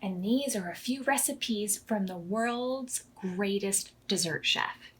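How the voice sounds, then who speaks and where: A woman's voice: medium pitch, slow pace, a little hiss or hum, natural voice. A woman voicing a know-it-all male pastry chef who instructs beginners in a children's storybook. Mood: patient instructing. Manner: boastful, instructing.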